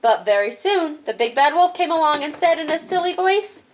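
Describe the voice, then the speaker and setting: A woman hosting and telling a children's story in an expressive storybook style. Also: high-pitched, moderate pace, background noise. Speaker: host and storyteller. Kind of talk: animated storybook narration for children. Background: music.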